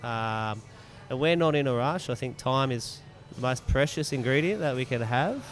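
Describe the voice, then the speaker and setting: A man of medium pitch explains things casually as a guest on a podcast. He is informal and repeats phrases to make a point, with faint music behind him. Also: moderate pace. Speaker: podcast guest, mead maker. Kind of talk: casual interview chat.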